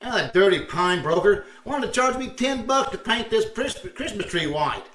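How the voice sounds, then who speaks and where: A man's voice: high-pitched, lively intonation, moderate pace, a bit bright. A man playing a blustery, indignant, scheming husband in old-time radio comedy, exclaiming and stumbling over his words. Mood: grumbling. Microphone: video call. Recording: roomy, distant home video-call sound.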